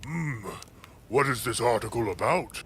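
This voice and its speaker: Genteel, musing old-world storyteller voiced by a man, very animated. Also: medium pitch, unhurried, a little hiss or hum. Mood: startled, genial. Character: Yuben Kai, big-nostriled sleepy kindly male storyteller creature. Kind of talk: audio drama acting.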